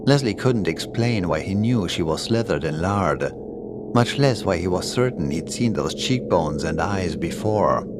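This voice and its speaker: A man's voice: low-pitched, animated, unhurried, heavy background noise. A man reading a scripted horror story in a dramatic, ominous way as its narrator. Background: creepy music and sound effects.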